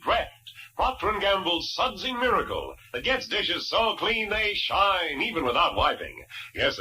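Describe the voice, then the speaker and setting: Man as a very bright, animated vintage pitchman. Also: exaggerated voice, rough recording. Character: enthusiastic 1940s male radio pitchman. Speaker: commercial announcer. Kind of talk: 1940s radio advertisement read.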